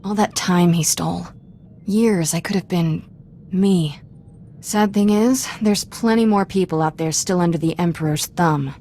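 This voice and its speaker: A woman's voice: fairly low pitch, measured pace, mellow, slight background noise. A woman playing a spirited, irreverent young Jedi apprentice in a video game, talking in a wry, informal way. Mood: reflective.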